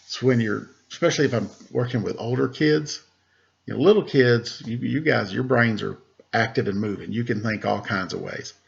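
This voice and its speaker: A man reads a rhyming picture book aloud for young listeners, his mid-range voice catching into a gravelly fry. He pauses to ask the children questions. The sound is muffled and lo-fi, like a simple home recording. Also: mid-range voice. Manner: lively rhyming delivery with rising questions to listeners. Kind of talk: storybook read-aloud to children.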